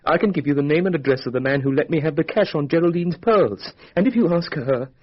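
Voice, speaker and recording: Posh young british suspect in a radio mystery, defensive, really animated pitch, bit muffled sound. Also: male voice, fast talker, husky. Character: young aristocratic murder suspect, defensive and flippant. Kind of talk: radio drama acting. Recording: dull, band-limited radio drama recording.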